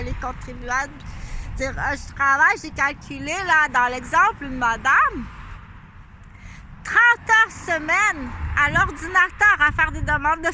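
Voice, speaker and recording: A woman with a Québécois French accent gives a rambling monologue outdoors. Her voice is high and very bright and cuts through faint street noise. She circles back to her points and speaks defensively to someone she accuses. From Quebec, Canada. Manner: repetitive, defensive, accusatory, addresses an unnamed "you". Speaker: vlogger. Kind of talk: rambling direct-to-camera monologue.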